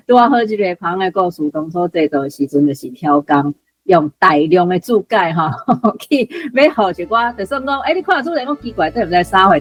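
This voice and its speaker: A woman's voice: lowish voice, breathy edge, low-quality recording. A woman with a Taiwanese accent giving unscripted explanatory commentary in a conversational way, full of particles and small asides. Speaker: guest commentator.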